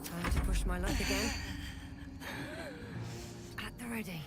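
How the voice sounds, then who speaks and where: A woman's voice: medium pitch, measured pace, natural voice. An actress playing a bold female fantasy adventurer, speaking short battle barks for a video game. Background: very loud game music.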